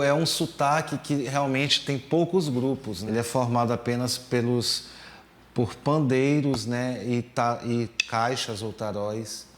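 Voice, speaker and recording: A man explaining percussion rhythms in a slow, hesitant teaching manner, full of fillers and tag questions. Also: medium pitch. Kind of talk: relaxed music lesson explanation. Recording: echoey room.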